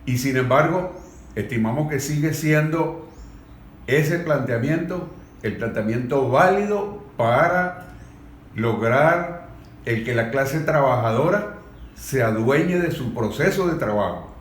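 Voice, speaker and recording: A man with a Venezuelan accent giving earnest political commentary in a deliberate, halting, formal way. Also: mid-range voice, expressive. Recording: echoey room.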